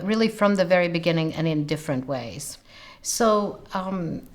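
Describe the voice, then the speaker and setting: Older woman, sixties, German first language, lowish voice, measured and reflective, frequent ums. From Romania. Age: 60s. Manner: thoughtful, frequent ums and uhs, lingers on words. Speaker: interviewee, scholar. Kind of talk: reflective personal account.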